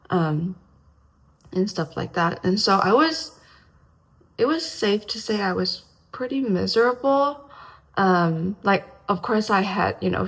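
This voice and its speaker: A young woman from Hong Kong, her voice raspy today, chatting casually and hesitantly to camera in one take, with many ums and restarts. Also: on the low side, poor audio. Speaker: vlogger.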